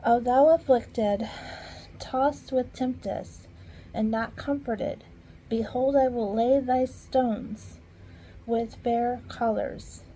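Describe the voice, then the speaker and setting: A woman reading scripture aloud in an informal way, halting at hard words and talking softly to her viewers between verses. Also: on the high side, a little hiss or hum, low-quality recording. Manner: slow, halting, stumbles over archaic words. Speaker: Bible reader.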